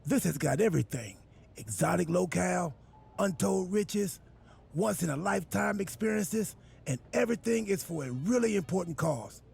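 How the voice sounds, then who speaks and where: An actor playing a goofy, self-important wrestler turned boss in a video game cutscene, with a gravelly, husky voice and an earnest, absurdly literal, rambling manner. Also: male voice, high voice, expressive, moderate pace, a little mellow, faint music behind, full character voice. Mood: pitching, upbeat. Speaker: wrestler acting as general manager.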